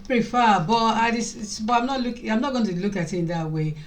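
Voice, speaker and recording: A woman's voice: fairly high pitch, lively intonation, fairly quick. A woman from Nigeria with a Nigerian accent hosting a casual vlog, often repeating and rephrasing her points. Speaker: vlog host.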